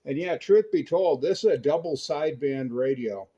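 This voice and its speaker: A man's voice: on the high side, animated, moderate pace, warm tone, slightly rough, highs cut off. An American man chatting casually and enthusiastically over CB radio, explaining things like a keen hobbyist.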